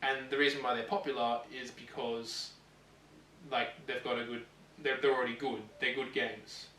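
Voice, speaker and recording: Casual gaming co-host: male, bright tone, rambling and halting, recorded in a roomy space. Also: mid-range voice, flat delivery. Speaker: video show co-host. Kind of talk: casual chat about games and films. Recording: roomy, distant mic, some ambient noise.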